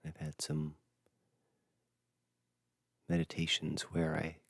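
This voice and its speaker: Male meditation guide, extremely low voice, gentle and halting, close dry sound. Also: monotone, vocal fry, no room sound. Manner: gentle, hushed, halting with long pauses. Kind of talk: unscripted dharma talk for guided meditation.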